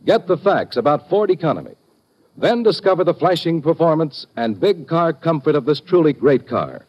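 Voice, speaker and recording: A fairly high-pitched American man delivers a vintage radio ad. His scripted pitch is emphatic and animated, with a husky edge, on a dull recording. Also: normal speed. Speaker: commercial announcer. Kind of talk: 1950s radio ad read, scripted.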